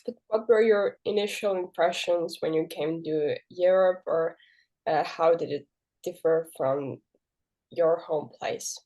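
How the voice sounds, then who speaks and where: Woman interviewer, low mellow voice, gentle tentative questions. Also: unhurried, poor audio.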